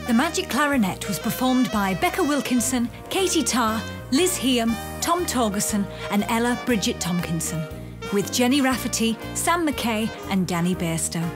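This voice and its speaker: A woman's voice: fairly high pitch, lively intonation, normal speed. Woman announcer reading over music. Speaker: credits announcer. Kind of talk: scripted announcement. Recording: music under the voice.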